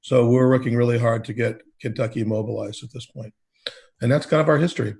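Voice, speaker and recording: A man's voice: mid-range voice, fairly even intonation, fairly quick, gravelly, a little mellow, slightly rough. A man, a state coalition leader from Oregon, talking steadily with many fillers on a panel call. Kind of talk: panel discussion on a video call. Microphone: video call.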